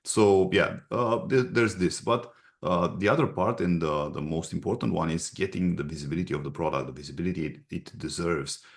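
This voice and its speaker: A man from Romania with a Romanian accent, explaining advice as an interview guest in a halting, instructive way. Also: deep voice, vocal fry. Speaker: guest expert, agency representative.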